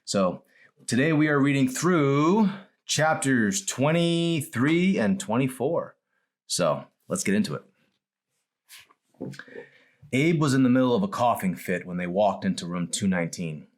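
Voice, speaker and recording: A man who is an author, reading his own novel aloud in an expressive storytelling style. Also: medium pitch, unhurried, long pauses. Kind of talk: expressive audiobook-style narration.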